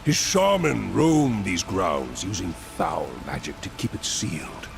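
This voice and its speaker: An actor playing a grim, imperious otherworldly figure in a video game, commanding and theatrical. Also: male voice, deep voice, huge pitch swings, a little slow, gravelly, exaggerated voice. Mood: commanding, urgent. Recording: loud background music.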